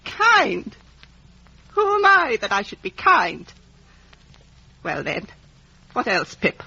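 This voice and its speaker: A woman's voice: on the high side, wildly swooping pitch, slow, gravelly, pushed and bright, husky, heavily acted. An actress playing a bitter, mocking, imperious old woman in a 1950s radio drama. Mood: scornful, bitter. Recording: muffled, dull vintage radio recording.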